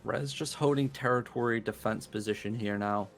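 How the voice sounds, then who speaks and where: A man giving relaxed, chatty live play-by-play commentary on an online game battle. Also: mid-range voice, moderate pace, faint music behind. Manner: relaxed, chatty, laughs easily. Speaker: esports caster.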